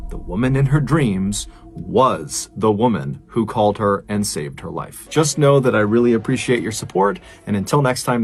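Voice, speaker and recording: A man's voice: medium pitch, a little mellow. A man telling a strange true story as a host, in a conversational, emphatic and suspenseful narrating style.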